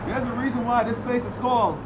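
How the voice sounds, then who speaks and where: Street preacher, male, high-pitched and declaiming, recorded outside over heavy traffic noise. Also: steady tone. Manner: declaiming, reading scripture slowly then preaching loudly; rhetorical questions to passersby. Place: outdoors on a city street.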